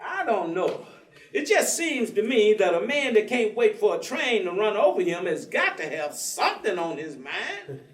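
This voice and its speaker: A man's voice: high voice, sing-song, moderate pace. An actor playing an earnest, persistent, folksy working man in a staged dramatic reading, speaking colloquially. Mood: searching. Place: theatre stage. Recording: echoey theatre, distant microphone.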